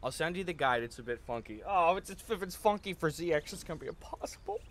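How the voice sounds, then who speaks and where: Higher-voiced young man, animated streamer. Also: fast, slight background noise. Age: young adult. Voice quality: tired, slightly worn-down voice. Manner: slangy, jokey, profane, often says "dude" and "bro". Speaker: livestream host. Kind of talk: casual gaming stream chat, reading out viewer messages. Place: indoor room at a streaming setup.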